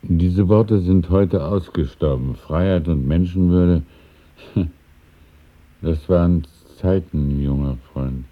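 An actor playing an elderly, deferential and wistful man in a radio drama, speaking hesitantly and courteously with a husky voice. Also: deep voice, slow. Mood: hesitant, musing. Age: older. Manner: hesitant, musing, courteous, with small chuckles. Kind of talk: 1970s German radio drama acting. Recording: muffled, phone-like old radio recording.

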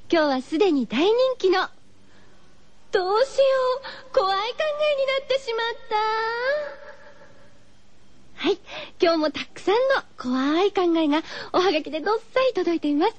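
A woman hosting a radio show, chatting gently and girlishly as she muses to her listeners. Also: very high-pitched, expressive, brisk, dry recording. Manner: gentle, girlish musing with rhetorical questions to listeners. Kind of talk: chatty solo radio talk, reading listener postcards.

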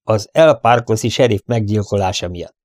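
Man voicing a gruff, bullying bandit boss. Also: medium pitch, darkish tone, no echo, clean studio sound, lightly acted. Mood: impatient. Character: domineering, angry, deceitful bandit boss running a western town.

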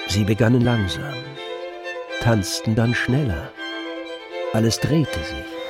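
A man narrating a literary radio drama from a script in a measured storytelling manner. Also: fairly low pitch, expressive. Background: music with violin.

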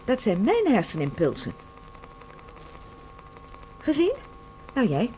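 Casting: earnest, anxious female fugitive, high and very expressive, radio play with some noise. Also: slow pace, heavily acted. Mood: earnest explaining. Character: anxious, earnest woman fugitive, helpful accomplice. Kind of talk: Dutch radio drama acting. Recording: noisy radio drama recording.

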